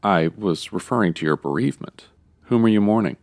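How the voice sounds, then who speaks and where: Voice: low-pitched, animated, a little fast, darkish tone. An actor playing a solemn, unctuous funeral parlor attendant in a comedy audio drama, formal, lugubrious and hesitant.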